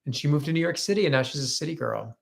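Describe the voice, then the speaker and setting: A man's voice: a little high, very fast, vocal fry. A man in his thirties co-hosting a casual live chat, reading viewer comments in an upbeat, talkative way with easy laughs. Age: 30s. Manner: chatty and upbeat, laughs easily. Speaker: co-host of a live chat stream. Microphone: phone front camera.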